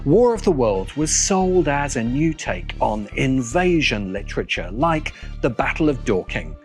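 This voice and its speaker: A man delivering scripted video essay narration in an emphatic manner, pausing deliberately for effect. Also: mid-range voice. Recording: music behind the voice. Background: music.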